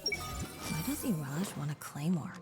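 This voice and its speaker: A woman's voice: deep voice, very animated, a little slow, over loud music. A woman voicing a puzzled, critical townswoman in a fantasy video game. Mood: puzzled, disapproving.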